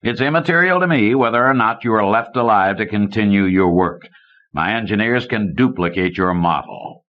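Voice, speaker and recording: A male narrator plays a smug banker villain in an audiobook story, ruthless and oily. He threatens with smooth condescension in a low-set man's voice. The sound is muffled, like an old cassette. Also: moderate pace, close to an everyday voice. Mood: cold threat. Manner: oily, smooth-tongued menace. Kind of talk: audiobook character dialogue. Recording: dull lo-fi old cassette recording.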